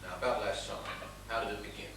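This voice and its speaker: Calm, probing physician played by a male actor; fast-spoken, controlled stage delivery, miked from far away in a reverberant theatre. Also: lowish voice, vocal fry. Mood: patient, probing. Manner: controlled, authoritative. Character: calm, firmly probing doctor questioning his patient. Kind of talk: amateur stage play acting. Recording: echoey theatre stage, distant mic, noisy.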